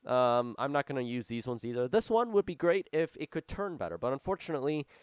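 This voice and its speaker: A hoarse young man who is losing his voice, giving rambling, casual commentary over a farming game at his desk microphone, with lots of fillers and restarts. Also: mid-range voice, fast, dry recording. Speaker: gaming YouTuber. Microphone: desktop microphone.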